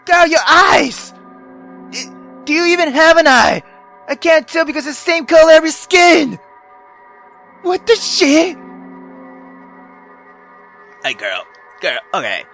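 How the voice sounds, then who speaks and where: A male let's-player with a high, swooping voice reads a visual novel aloud over music. His delivery is theatrical and excitable, like someone performing for his channel. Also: slow, gravelly, long pauses. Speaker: gaming YouTuber. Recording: music behind the voice. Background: background music.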